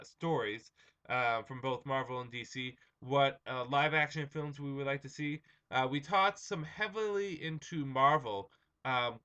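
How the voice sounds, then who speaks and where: Slightly hoarse young man, bright chatty vlog. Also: medium pitch, highs cut off. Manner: chatty, many ums and uhs, restarts. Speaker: comic review vlogger. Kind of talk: casual vlog talk.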